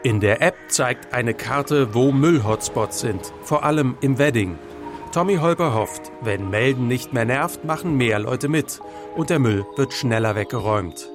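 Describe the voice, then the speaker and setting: A man reading a scripted voice-over for a TV news report in a measured, even way. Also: mid-range voice, music in the background. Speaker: news narrator.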